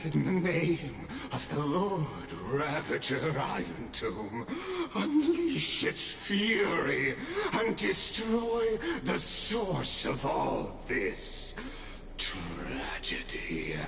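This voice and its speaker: An actor playing a grandiose, cold game villain who preaches destruction in a drawn-out, coolly mocking theatrical style. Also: male voice, a little high, huge pitch swings, vocal fry, background noise, full character voice. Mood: unhinged fervor. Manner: theatrical, drawn-out dramatic delivery. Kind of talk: video game story voice acting. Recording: echoey game audio under music.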